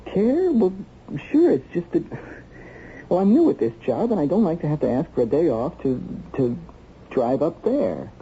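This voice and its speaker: An actor playing a defensive, embittered young American son in a radio drama, hesitant and aggrieved. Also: male voice, fairly high pitch, very animated, normal speed, warm tone. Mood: defensive, uneasy. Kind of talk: 1970s radio drama acting. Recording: muffled, noisy old radio broadcast.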